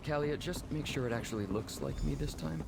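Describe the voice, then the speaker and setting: A man's voice: medium pitch, fairly quick, vocal fry, noisy background, natural voice. An actor voicing a proud, formal young nobleman in a fantasy video game, with precise, theatrical phrasing. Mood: playful.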